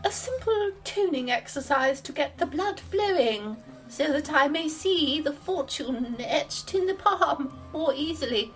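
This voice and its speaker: A woman's voice: high voice, lively intonation, a little slow, few pauses, soft background music, poor audio. An actress playing a bossy, caustic woman with a theatrical, formal manner, like a soothsayer in a comedy audio drama. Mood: mock-mystical, stern. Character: tart, bossy woman posing as mysterious soothsayer.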